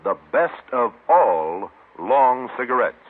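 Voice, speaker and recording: Male commercial announcer, very animated intonation, emphatic and measured, on a muffled 1950s radio recording. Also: mid-range voice. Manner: emphatic, measured sales delivery. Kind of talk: 1950s radio cigarette advertisement read. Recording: muffled narrow-band old radio recording.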